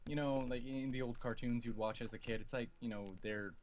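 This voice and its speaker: A man co-hosting a casual livestream, hesitant with many ums, now and then jumping into high silly voices. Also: steady tone, fairly quick, a little hiss or hum. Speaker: livestream co-host.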